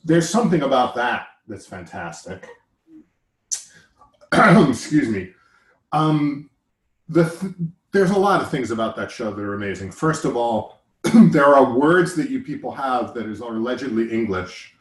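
A man's voice: medium pitch, very animated, measured pace. Joking, rambling American man in a roomy space. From United States. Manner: joking, rambling, self-interrupting. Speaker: podcast host. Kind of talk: casual chat.

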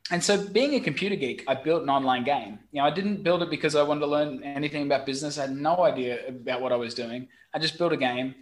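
A middle-aged Australian man, a guest on a podcast call, talking frankly and with lively storytelling, salting it with mild swearing. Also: a little high, quick pace, hardly any pauses. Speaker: guest, website marketing expert. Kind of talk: casual podcast chat. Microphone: remote call.

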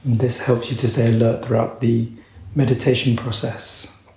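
A man leading a guided meditation as a teacher, speaking in a slow, measured way with long pauses. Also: medium pitch, level tone, a little mellow, roomy sound, slight background noise, poor audio.

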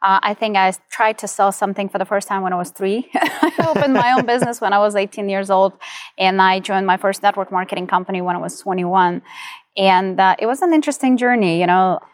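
A woman's voice: medium pitch, fairly quick, dry recording, clean studio sound. A middle-aged woman with a Ukrainian accent, telling her personal story as an interview guest. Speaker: podcast guest, entrepreneur.

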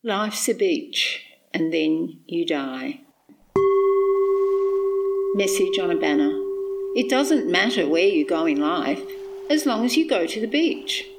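A middle-aged Australian woman, a poet, reading and reflecting on her work in measured, deliberate phrases. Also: fairly low pitch, lively intonation, heavy background noise.

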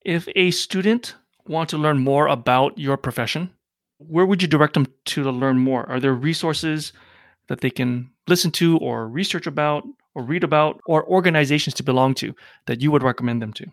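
Interviewer, man with a raised pitch. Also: moderate pace, dry recording, clean studio sound. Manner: asks long, searching questions. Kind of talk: interview questions.

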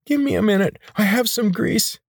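A man putting on a squeaky nasal pudgy healer. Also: expressive, normal speed, no echo, clean studio sound. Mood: wheedling. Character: oily pudgy male healer, wheedling, nasal.

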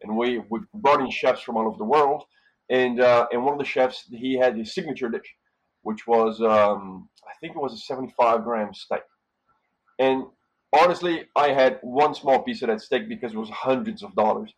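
A middle-aged man from Brazil, a hotel manager and podcast guest, telling relaxed stories with lots of fillers and tag questions. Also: mid-range voice, moderate pace, slightly rough, poor audio. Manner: relaxed storytelling with frequent fillers and "right?". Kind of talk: casual interview conversation.

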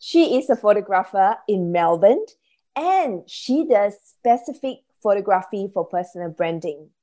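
A woman's voice: medium pitch, expressive, a little slow, warm tone. A woman hosting a business podcast, speaking in an enthusiastic, effusive manner as she presents and chats. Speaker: podcast host, business coach. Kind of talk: podcast hosting, introducing a guest.

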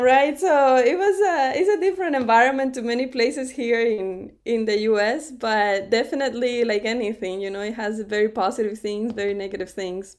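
Cuban woman, higher-pitched, laughing often. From Cuba. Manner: warm storytelling with frequent laughs and restarts. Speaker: podcast guest. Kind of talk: conversational interview.

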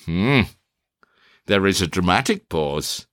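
A man playing a cool, probing interrogator in a theatrical drama, questioning in a formal, deliberate, insinuating way. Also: low-pitched, sing-song, a bit bright, no room sound, clean studio sound, heavily acted.

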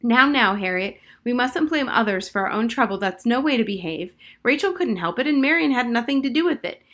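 A woman's voice: a little high, lively intonation, a little fast, a bit bright, voice pushed up. A woman voicing a prim, fussy schoolteacher who lectures children on manners. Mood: preachy.

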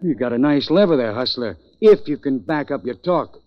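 A man's voice: medium pitch, lively intonation, normal speed, no echo, close to an everyday voice. An actor playing a suspicious, threatening gambling crook in a 1940s radio crime drama, terse and full of tough-guy slang. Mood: wary, tough. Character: suspicious, menacing gambling crook holding a gun. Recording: dull, dry old radio recording.

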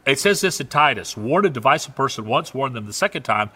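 A middle-aged pastor with a Southern US drawl delivers a sermon in a bright, forward voice. His pitch rises and falls freely as he moves from loud, emphatic lines to hushed, confiding asides. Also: animated, fast, husky. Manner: conversational preaching, swings between loud emphasis and quiet asides.

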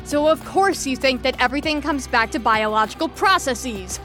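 A woman's voice: high voice, lively intonation, moderate pace, pushed and bright, faint music behind. An actress in an audio drama playing an exasperated, sardonic young woman who leads a group lesson in a weary, lecturing manner. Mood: exasperated outburst.

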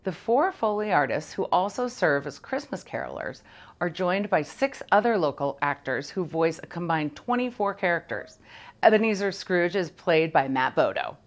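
A woman presenting a local news segment in a scripted, measured read. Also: on the low side, very animated.

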